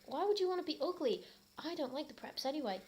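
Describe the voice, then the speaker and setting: A woman voicing a sulky gothic teenage boy wizard, speaking quickly and scornfully. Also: high voice, expressive, mellow, pitched above the performer's own voice.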